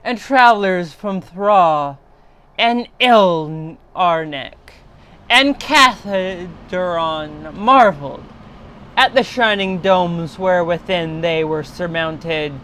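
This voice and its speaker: A woman reading a literary horror story aloud in a solemn, deliberate, dramatic storytelling style. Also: lowish voice, a bit bright, slight background noise.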